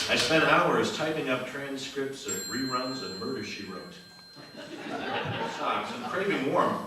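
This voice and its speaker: A man playing a wry mystery writer, narrating a noir parody deadpan in live radio theater. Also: mid-range voice, close to an everyday voice. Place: theater stage, live performance. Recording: echoey theater, distant, faint noise.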